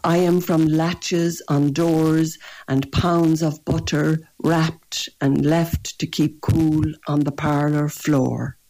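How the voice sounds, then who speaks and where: An older Irish woman, a poet, reading her poems in a measured, gentle way and answering interview questions. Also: sits low. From rural northwest Ireland. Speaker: poet and guest. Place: radio studio or phone line.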